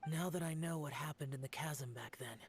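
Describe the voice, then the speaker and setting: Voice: medium pitch, a little fast, husky, natural voice. A performer playing a stoic, sorrowful ancient male guardian spirit in a video game, grave, restrained and formal. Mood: solemn, sorrowful. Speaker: game character. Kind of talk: dramatic game voice acting.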